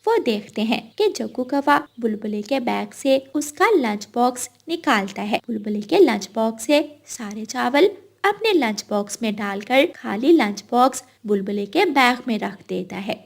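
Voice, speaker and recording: A woman's voice: fairly high pitch, animated, measured pace, vocal fry. A woman narrating a scripted children's cartoon story.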